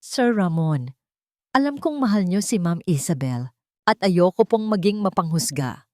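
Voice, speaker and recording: Narrator lady as an old filipina housekeeper, gentle but firm, protective, audio drama, dry recording. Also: on the low side, lively intonation, measured pace, dry recording. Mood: firm, earnest. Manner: gentle, emphatic, respectful.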